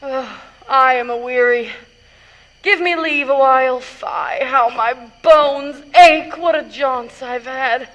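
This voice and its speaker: A woman's voice: sits high, slow pace, fairly bright tone, poor audio. A woman acting a weary, complaining, teasing old nursemaid in a Shakespeare play, grumbling and dragging out her words. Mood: groaning complaint.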